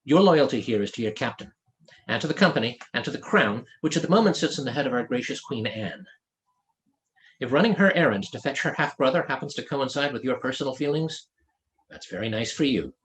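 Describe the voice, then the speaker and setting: A man's voice: medium pitch, lively intonation, a little fast, slight background noise, close to an everyday voice, low-quality recording. A man voicing a stern, commanding female ship's captain with clipped, formal authority in a fantasy audiobook. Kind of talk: fantasy audiobook character dialogue.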